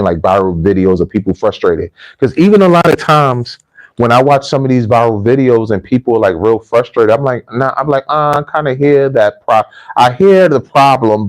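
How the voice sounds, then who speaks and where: A man's voice: lowish voice, a little mellow, rough recording. A man with an American accent hosting a casual livestream, talking informally to viewers and often repeating his phrases for emphasis. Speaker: livestream host and repatriation coach. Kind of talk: casual livestream talk, addressing viewers and telling anecdotes.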